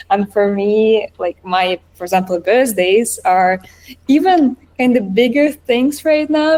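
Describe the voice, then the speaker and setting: A woman's voice: mid-range voice, a little mellow, poor audio. A woman from Estonia, answering conversationally and earnestly as an interview guest. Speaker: interview guest, app founder.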